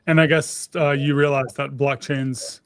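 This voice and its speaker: A man hosts a tech livestream in a casual way. His voice sits at a middle pitch, recorded close and dry. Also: flat delivery, a little slow, smooth, dry recording. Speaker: host, developer relations director.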